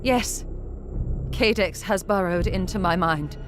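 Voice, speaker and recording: An actress playing a composed, cunning inquisitor in a video game, speaking deliberately and haltingly. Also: female voice, lowish voice, loud music behind the voice. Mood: calm, strained. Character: composed, cunning woman inquisitor held as a traitor. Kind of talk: video game cinematic acting.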